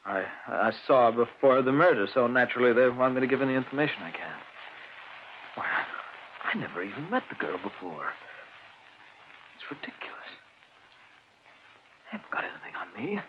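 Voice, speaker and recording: An actor playing a defensive, nervous husband accused of murder in an old radio drama, halting and protesting as he speaks. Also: mid-range voice, very animated, close to an everyday voice. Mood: defensive, rattled. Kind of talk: 1940s-50s radio drama acting. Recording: muffled, scratchy old radio broadcast.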